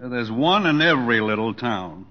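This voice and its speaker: Husky, blunt elderly father played by a man. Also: mid-range voice, lively intonation, normal speed, natural voice. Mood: stern urging. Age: older. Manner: folksy, pointed, skeptical questioning. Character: blunt, needling elderly father of a grown son. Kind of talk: old-time radio drama acting. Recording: muffled old radio recording, reverberant stage.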